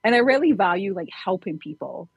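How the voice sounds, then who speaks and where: A woman's voice: medium pitch, expressive, fairly quick, breathy edge, no echo, dull audio. A woman from Barbados, a fitness trainer, telling her personal story casually as a podcast guest.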